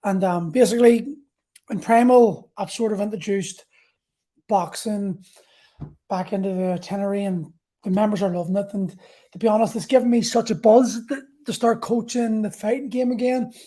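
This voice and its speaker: A man's voice: high voice, fairly even intonation, moderate pace, warm tone. A man, talking casually and candidly about his own experiences as a podcast host and coach, rambling a little and swearing freely. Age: 40s. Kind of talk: casual solo talk about personal experience.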